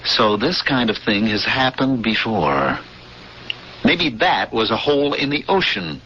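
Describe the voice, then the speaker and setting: An actor playing a male ex-smuggler space pilot who is frightened and insistent, with a halting, tense delivery, in a 1970s radio drama. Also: medium pitch, sing-song, sharp tone, slight background noise. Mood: fearful. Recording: dull 1970s radio broadcast.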